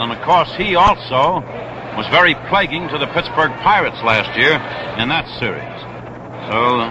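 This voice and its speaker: A man calling baseball play-by-play on 1960s radio in a measured, explanatory way, repeating himself deliberately. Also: fairly high pitch. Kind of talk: live 1960s radio sports commentary. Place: outdoors at a baseball stadium. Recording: noisy vintage outdoor radio broadcast.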